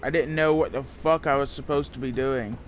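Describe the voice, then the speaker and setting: A man's voice: medium pitch, fairly even intonation, a little fast, a little hiss or hum. A man giving casual let's play commentary as he plays a video game. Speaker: video game player and host. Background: faint game ambience.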